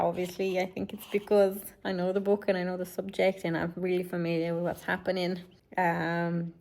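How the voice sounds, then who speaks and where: A woman's voice: on the low side, gravelly. Chatty woman vlogging. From Romania. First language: Romanian. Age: late 20s. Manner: chatty and reflective, with light laughs. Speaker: lifestyle vlogger. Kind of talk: casual vlog narration.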